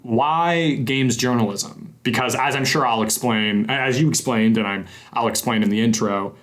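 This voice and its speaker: A man's voice: mid-range voice, moderate pace, a bit bright. A young man hosting a casual podcast interview, chatty and rambling with lots of fillers and restarts. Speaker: podcast host.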